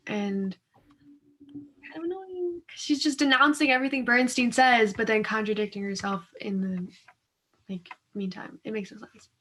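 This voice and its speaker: High-voiced, casual young woman. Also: normal speed, a bit bright. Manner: colloquial, loosely phrased, heavy use of "like". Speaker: co-host of a reading discussion. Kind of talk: casual chat.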